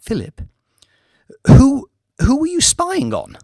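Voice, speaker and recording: A man's voice: very high-pitched, huge pitch swings, slow, voice pushed up, heavily acted. A man voicing a bewildered, anxious fiancé in an audiobook thriller, with a flustered, questioning manner. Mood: stunned.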